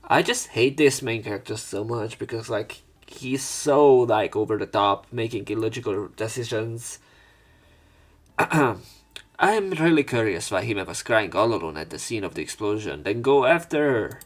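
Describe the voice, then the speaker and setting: A man's voice: on the low side, lively intonation, measured pace. A man reading visual novel text aloud and breaking off for casual, sweary let's play commentary. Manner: casual, sweary interjections between read lines.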